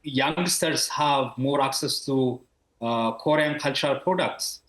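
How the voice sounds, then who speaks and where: A man's voice: mid-range voice, slow, a bit bright, reverberant, low-quality recording. A man from Ethiopia, a university professor in his thirties, answering interview questions over a video call with many fillers and restarts.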